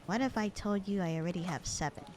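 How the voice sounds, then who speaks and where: A woman playing a bored, sarcastic, slightly flirty secretary in a casual ASMR audio roleplay, with a dry, deadpan, teasing delivery. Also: mid-range voice, fast talker, background noise, lightly acted. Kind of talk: ASMR roleplay acting, casual one-sided conversation.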